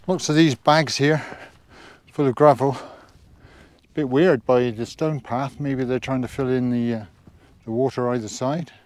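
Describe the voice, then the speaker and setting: An English man in his sixties with an English accent, chatting casually and wryly to camera as an outdoor vlogger, on a clip-on mic out on an open hilltop. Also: mid-range voice. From England. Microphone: clip-on lapel mic.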